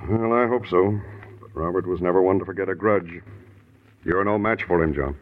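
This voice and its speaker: An American actor playing a folksy, concerned small-town sheriff in 1950s radio drama, plain-spoken and hesitant, on a tinny old recording. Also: male voice, deep voice, normal speed, close to an everyday voice. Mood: worried, warning.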